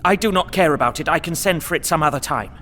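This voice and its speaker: A man's voice: on the high side, animated, fast talker, pushed and bright, faint music behind. An actor playing a fearful, earnest young Englishman, narrating his journal in a dramatic audio drama. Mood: urgent pleading.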